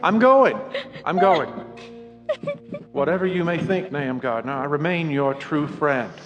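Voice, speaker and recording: Animated, flustered, decent country neighbour. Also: male voice, a little high, a little slow, lo-fi sound. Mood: alarmed placating. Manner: stammering, gently placating. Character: decent, flustered farmer neighbour, earnest and placating. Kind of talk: audio drama acting. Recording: long reverb, music underneath.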